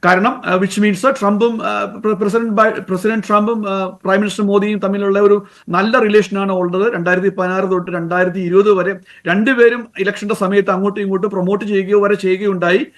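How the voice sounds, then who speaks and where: A man with a Malayali accent giving unscripted live commentary as a news correspondent, haltingly, with frequent English fillers. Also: sits high, level tone.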